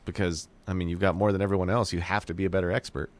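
A man's voice: low-pitched, little pitch movement, rapid-fire. A man in his forties chatting casually, joshing his friend and asking curious follow-up questions. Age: 40s. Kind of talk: casual chat.

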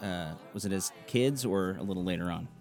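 Male interview host, lower voice, easygoing and musing, soft music underneath. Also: a little fast. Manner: thoughtful, loose phrasing with many "you know"s. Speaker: podcast host. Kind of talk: casual conversational interview.

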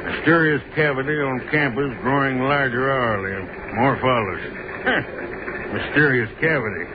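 A man's voice: mid-range voice, a little slow, phone-quality sound, natural voice. A noisy, phone-thin old radio recording features a man playing a newspaper chief. He is a dry wisecracker with a mid-range voice, in thirties radio drama style. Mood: amused. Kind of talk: 1930s radio drama acting.

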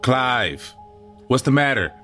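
A man's voice: mid-range voice, very animated, slow pace, sharp tone, faint music behind, full character voice. A man playing a grieving father and brother with clipped, emotional delivery in an audio drama. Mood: angry, urgent. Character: grieving father, mild-mannered brother turning angry.

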